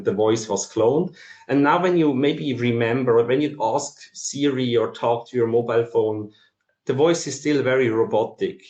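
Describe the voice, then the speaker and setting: A man with a Swiss accent giving an explanatory presentation in a halting way, with frequent ums. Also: mid-range voice, darkish tone, muffled recording. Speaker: masterclass presenter. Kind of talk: explanatory conference presentation for non-technical listeners. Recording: roomy, echoing sound.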